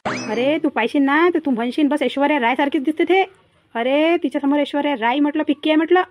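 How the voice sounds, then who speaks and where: A woman's voice: sits high, fast talker, no echo, natural voice. A woman voicing a mischievous, boastful village boy in a cartoon comedy, chattering and teasing in a Varhadi Marathi accent.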